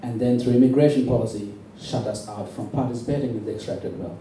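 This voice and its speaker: A man's voice: mid-range voice, fairly even intonation. A man from Sri Lanka giving an impassioned political speech at a public meeting, emphatic and intense. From born in Sri Lanka. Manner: emphatic, intense, deliberate rhetoric. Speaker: campaign speaker at a public meeting. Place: indoor public meeting venue. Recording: very echoey, distant, faintly noisy hall recording.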